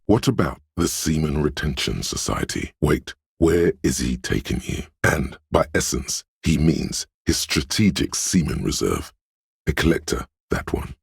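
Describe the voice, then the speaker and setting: Very deep, smooth inner-instinct game narrator. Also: male voice, sing-song, dry recording, clean studio sound, exaggerated voice. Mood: alert, wry. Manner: deliberate, alert, dramatic second-person narration. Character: quick-witted inner voice of a detective's instincts.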